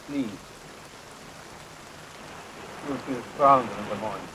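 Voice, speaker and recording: A man's voice: mid-range voice, lightly acted. A man acting a kindly, persistent, fatherly companion in a 1930s horror film, coaxing and repeating himself. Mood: soothing. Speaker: film actor. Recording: noisy 1930s film soundtrack under rain. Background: rain storm.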